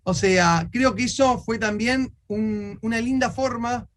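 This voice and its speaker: A man's voice: extremely high pitch, unhurried, fairly bright tone. A man with an Argentine accent interviewing a guest in a conversational way.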